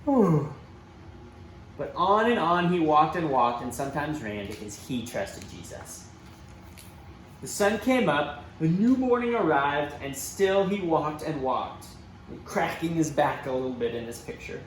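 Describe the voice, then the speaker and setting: Male children's storyteller, lively and dramatic, roomy echoey sound. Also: on the high side, measured pace. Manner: expressive, dramatic emphasis with asides to young listeners. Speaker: church children's storybook reader. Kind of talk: animated read-aloud storytelling for children. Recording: roomy echo, faint background noise.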